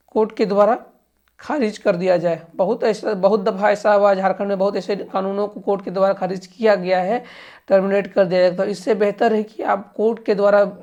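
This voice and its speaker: A woman commentator speaks at an average pitch for a woman. She explains politics informally, as if addressing those in power directly. Her delivery is emphatic, circling back to restate each point. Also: a little mellow, highs cut off. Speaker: news commentator.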